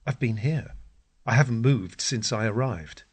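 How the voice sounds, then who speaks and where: A man voicing an entranced, stubborn man in a literary story, speaking in a rapt, hushed, resistant way. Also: mid-range voice, unhurried, slightly rough, no echo. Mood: defensive.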